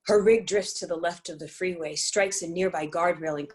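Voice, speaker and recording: A woman reading scripted dramatic narration and stage directions in a measured, deliberate way. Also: fairly low pitch.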